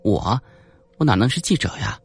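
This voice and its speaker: A man's voice: lowish voice, big pitch swings, normal speed, breathy edge, soft background music, heavily acted. A man voicing a brash, glib, chatty young woman reporter in an audiobook. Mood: brash, bluffing. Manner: pushy, glib.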